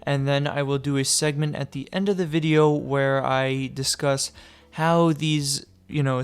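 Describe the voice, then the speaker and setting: A man's voice: mid-range voice, clean tone. A young man narrating a casual game tutorial, chatty and joking, with loose fillers, a little sick. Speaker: gaming guide creator.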